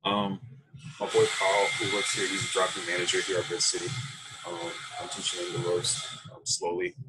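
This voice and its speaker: An American man speaking casually and reflectively as an interview guest on a video call, thinking his way through halting phrases. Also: low voice, level tone, very noisy. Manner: halting, thoughtful, unhurried phrasing. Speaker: interview guest, coffee roaster. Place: small room indoors, video call. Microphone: computer mic on a video call.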